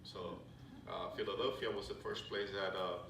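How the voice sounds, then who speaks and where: A middle-aged man with a Puerto Rican accent giving a friendly, halting talk to a club, with many fillers and restarts. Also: low voice, steady tone, vocal fry, fairly bright tone, distant mic. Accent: Puerto Rican Spanish. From Puerto Rico. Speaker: Salvation Army officer speaking to a club. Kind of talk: informal presentation to a luncheon audience. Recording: echoey hall recorded from afar, background noise.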